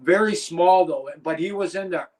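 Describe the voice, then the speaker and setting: A man's voice: sits high, normal speed, a little mellow. An older man and former wrestler, telling rambling, profane stories as an interview guest. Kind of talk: casual interview chat.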